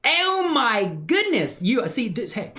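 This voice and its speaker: A man's voice: very high-pitched, wildly swooping pitch, takes it slowly, bright, forceful tone, a little hiss or hum. A middle-aged man chatting informally and enthusiastically as he hosts a casual livestream demonstration. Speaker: livestream host and hobby animator.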